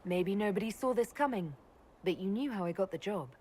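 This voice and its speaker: An actress playing a composed young woman leader in a video game, measured and direct with a dry, teasing streak. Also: medium pitch, expressive, a little mellow, a little hiss or hum, lightly acted. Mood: resolute. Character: composed, wry young female leader and adventurer. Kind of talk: video game character dialogue.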